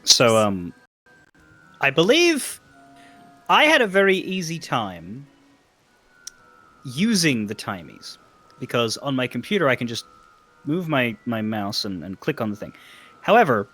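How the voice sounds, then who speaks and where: A man's voice: medium pitch, very animated, unhurried, pauses often, pushed and bright, soft background music. A man giving casual game commentary, explaining things hesitantly as he thinks aloud. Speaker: stream co-host guiding a player.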